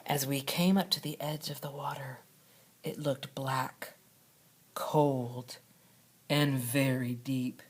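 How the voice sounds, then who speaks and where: A woman reading a children's storybook aloud like a schoolteacher, with expressive, dramatic narration and deliberate phrasing. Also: a bass voice, breathy edge. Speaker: second grade teacher reading aloud.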